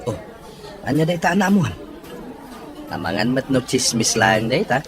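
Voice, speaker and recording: A man's voice: medium pitch, animated, moderate pace, over music, a crowd in the background, natural voice. A man playing an easygoing, curious friend who reacts with disbelief in an audio soap drama. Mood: curious, incredulous. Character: curious, incredulous man, easygoing and skeptical of gossip.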